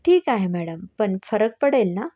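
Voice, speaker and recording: A woman's voice: medium pitch, very animated, a little slow, warm tone. A woman storyteller voicing a frustrated mother in her thirties who lists her complaints. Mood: doubtful. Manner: complaining, listing things off. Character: frustrated thirty-five-year-old mother complaining about her son.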